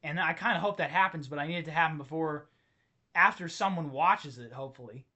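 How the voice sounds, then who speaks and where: A man with a slightly high, bright, forceful voice rambles through a comedy podcast, tripping over his own sentences as he gets worked up. His voice sounds tired and strained from overuse. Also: brisk. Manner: rambling, self-interrupting, excitable. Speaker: podcast host. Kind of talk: solo comedic rant podcast.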